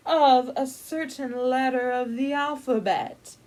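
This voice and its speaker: A woman voicing a pompous, melodramatic grand lady who narrates a storybook with haughty, drawn-out drama. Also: high voice, steady tone, darkish tone, poor audio. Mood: grandly ominous.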